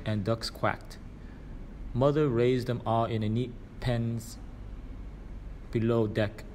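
A man's voice: medium pitch, a little hiss or hum. A man reading a children's storybook aloud as scripted narration. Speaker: reader.

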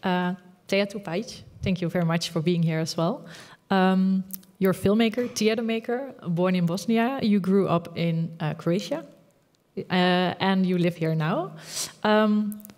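A woman's voice: mid-range voice, normal speed, a little mellow. A woman moderating a stage panel, asking questions in a friendly way with many fillers. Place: theater hall with audience. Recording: long reverb of a theater hall.

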